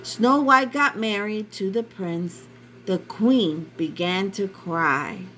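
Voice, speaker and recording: Slow-paced woman reading a children's story. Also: mid-range voice, fairly bright tone, slight background noise, rough recording. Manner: addresses young listeners directly, teacherly. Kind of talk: read-aloud children's story.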